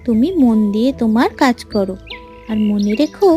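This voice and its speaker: একজন মহিলা কার্টুন রূপকথার এক চালাক, খানিকটা কর্তৃত্বপরায়ণ পরীর চরিত্রে নাটকীয় ভঙ্গিতে কণ্ঠ দিচ্ছেন।. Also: female voice, fairly high pitch, moderate pace, dark tone, soft background music, lightly acted. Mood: feigned concern, stern. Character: clever female fairy, helpful schemer, teasing and bossy. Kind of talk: animated fairy tale voice acting.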